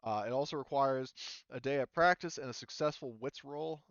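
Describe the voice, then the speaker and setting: Guy game master going over rules, smooth clean voice, dry slightly dull audio. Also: medium pitch, normal speed, fairly bright tone, no room sound. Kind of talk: casual explanation of tabletop game rules.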